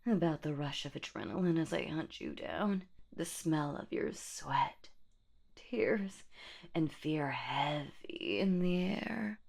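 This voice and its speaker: Woman acting as female boogeywoman, horror roleplay, low husky whisper, unhurried, playfully cruel, menacing. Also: animated, darkish tone, heavily acted. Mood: eager, predatory. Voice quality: breathy, husky whisper with creaky low notes. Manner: slow, teasing, menacing, mock-sweet. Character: sadistic, playful female boogeywoman monster hunting humans. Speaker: audio roleplay voice actor.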